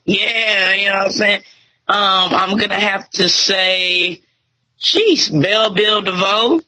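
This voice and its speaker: Woman, 20s, bright tense tone, relaxed slangy speech, interview guest. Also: mid-range voice, slow pace, low-quality recording. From Tyler, Texas. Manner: laid-back slangy talk, many fillers. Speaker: radio host and MC being interviewed. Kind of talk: casual interview chat.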